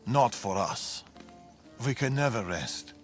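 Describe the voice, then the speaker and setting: An actor playing a world-weary, guarded fugitive in ancient Greece, speaking gravely and deliberately in a video game cutscene. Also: male voice, medium pitch, animated. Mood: grave, wary. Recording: game music behind the voice. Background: background music.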